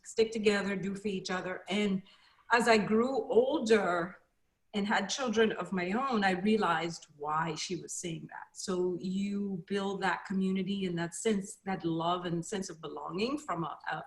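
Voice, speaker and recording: A woman's voice: medium pitch, fairly even intonation, a little slow, reverberant. A woman from the Bahamas, a guest in a casual interview, who talks with easy laughs and many fillers. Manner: warm storyteller, laughs easily, hesitant fillers. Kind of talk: casual video-call interview.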